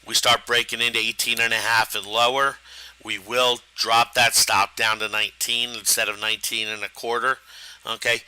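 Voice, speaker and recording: A man with a rough, hoarse voice hosting a live stock trading stream, talking in a halting way with many fillers and restarts. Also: medium pitch, bright, forceful tone, dry recording, rough recording. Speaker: stock trading show host. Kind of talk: live market commentary.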